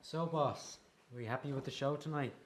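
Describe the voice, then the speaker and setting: A man's voice: mid-range voice, brisk, a little hiss or hum, lightly acted. Eager, easygoing circus performer in radio drama male actor, mid-range voice. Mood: pleased, casual.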